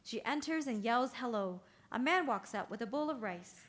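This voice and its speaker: A woman's voice: a little high, animated, moderate pace, a bit bright. A woman reading stage directions as narrator at a play's table read, in scripted narration.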